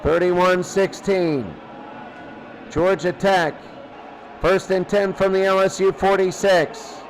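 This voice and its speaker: A man's voice: sits high, steady tone, slow, rough recording. A man with an American accent calling football play by play, exclaiming on big plays. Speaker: play-by-play commentator. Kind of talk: live American football commentary.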